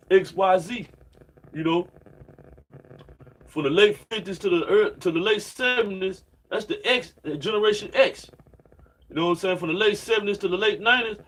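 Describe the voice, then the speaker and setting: A man's voice: high voice, fairly bright tone, poor audio. A man in his late thirties preaching informally and reading scripture, rambling in slang and padding nearly every sentence with "man" and "you know what I'm saying.". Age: 30s. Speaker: religious preacher.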